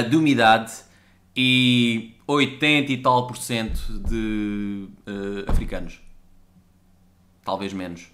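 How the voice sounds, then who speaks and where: A smug TV weatherman reads out the forecast in a deadpan, mock-formal manner, as a Portuguese man puts him on. The voice is lower and flatter than his ranting, with a European Portuguese accent. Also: natural voice.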